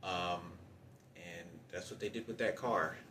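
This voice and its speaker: A man giving an unscripted personal monologue about his own hardships, halting and often circling back to repeat himself. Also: deep voice, fairly even intonation, sharp tone, a little hiss or hum. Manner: halting, repetitive, circling back over points. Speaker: storyteller recounting personal hardship.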